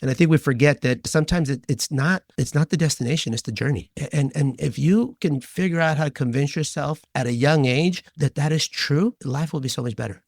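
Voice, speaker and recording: A man's voice: on the high side, fairly quick, vocal fry, hardly any pauses, no room sound, clean studio sound. A man in his fifties, a guest in a candid podcast interview, speaking in a reflective way and often repeating words as he starts a sentence. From Southern California. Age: 50s. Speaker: guest, former mayor and wine shop owner.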